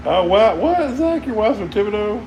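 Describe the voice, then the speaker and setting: A man's voice: high voice, moderate pace, highs cut off. Man with an Oklahoma drawl, laid-back. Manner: rambling, laid-back, chuckles often. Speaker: towboat worker livestreaming. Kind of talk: casual livestream chat with viewers. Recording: steady air conditioner hum behind.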